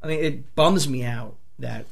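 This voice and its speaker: A man with a gravelly, croaky voice, worn hoarse from a flu, holds forth on films in an excitable, profane rush. He stumbles through restarts and fillers and jumps back in with energy. His pitch sits in an ordinary middle range. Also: unhurried. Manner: excitable, swears often, many restarts. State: a few bourbons in. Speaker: podcast host. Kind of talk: casual movie talk.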